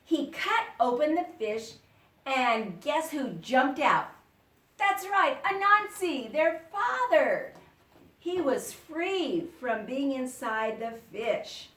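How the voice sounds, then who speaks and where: A woman teacher reading a story aloud to children with dramatic expression, pausing to explain words and ask questions. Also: high-pitched, very animated, fairly bright tone. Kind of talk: animated children's read-aloud with questions to listeners. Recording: roomy echo, distant microphone.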